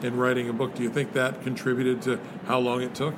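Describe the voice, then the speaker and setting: A man's voice: mid-range voice, little pitch movement, a little fast. A man hosting an interview, asking long conversational questions. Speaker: podcast host. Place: noisy spot near traffic. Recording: background traffic noise.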